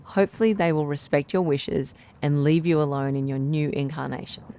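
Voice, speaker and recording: Low female voice, caring radio doctor played by an actress, measured, articulate, reassuring, advice-show drama. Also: mellow. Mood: gentle, encouraging.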